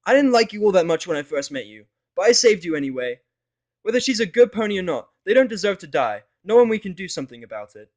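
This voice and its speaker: Brash, headstrong pony girl, bossy and righteous, high forceful delivery male actor, for fan audio drama. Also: big pitch swings, fast talker. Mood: indignant. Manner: forceful, scolding, exclamatory. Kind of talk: fan fiction audio drama character acting.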